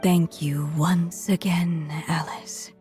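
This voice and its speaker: A woman voicing a gentle, dying storyteller in a video game, soft, measured and resigned. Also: lowish voice, steady tone, faint music behind. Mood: tender, fading.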